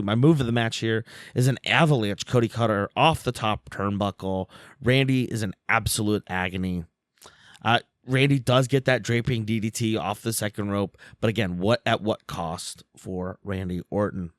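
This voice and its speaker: A man co-hosting a casual wrestling podcast, a little hoarse and tired, talking with animated enthusiasm. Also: on the low side, normal speed, dry recording, studio quality. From Philadelphia area. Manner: animated, reads out quotes with big swings. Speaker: podcast co-host. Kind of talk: casual chat.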